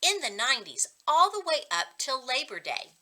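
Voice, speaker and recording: A woman's voice: sits high, sing-song, moderate pace, bright, forceful tone, voice pushed up, full character voice. A woman voicing a chatty, eager young sister in a storybook, insistent and full of questions.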